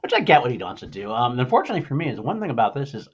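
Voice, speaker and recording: A man's voice: medium pitch, sing-song, very fast, husky. A man hosting a casual pop culture podcast, speaking informally and bluntly with his opinions, on muffled audio. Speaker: podcast host.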